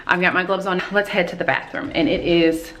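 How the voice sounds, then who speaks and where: A woman's voice: fairly low pitch, fairly quick, a bit bright, a little hiss or hum. Upbeat woman vlogging in an echoey room. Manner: upbeat, chatty. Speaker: home decor vlogger. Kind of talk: casual on-camera vlog talk. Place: bright room at home, indoors. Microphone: camera on a tripod at a short distance.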